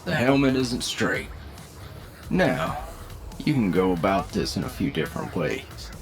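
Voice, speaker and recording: A man's voice: mid-range voice, very animated, a little slow, over music. A man playing a cocky, seasoned demonic hunter who teaches an apprentice in a folksy drawl, in an audio roleplay. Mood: instructive.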